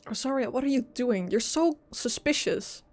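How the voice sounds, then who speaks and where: A woman's voice: on the high side, animated, normal speed. A woman streaming a video game, reacting casually in a giggly, jokey way. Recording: faint game music behind. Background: game music.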